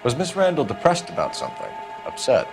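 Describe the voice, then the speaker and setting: A man's voice: mid-range voice, wildly swooping pitch, fairly quick, vocal fry. An actor playing a firm, probing American police detective in a video game, clipped and formal in his questioning. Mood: probing, pressing. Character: firm, probing male 1940s Los Angeles police detective. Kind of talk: video game cutscene acting. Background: music.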